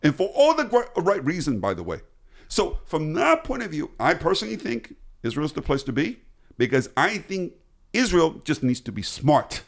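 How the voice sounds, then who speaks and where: Middle-aged guy talking economics on a show, swings his pitch all over, emphatic, repeats himself starting out. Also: moderate pace, vocal fry. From born in the US, grew up in Taiwan. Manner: animated, emphatic, repeats words when starting. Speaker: guest economist. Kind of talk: interview answers.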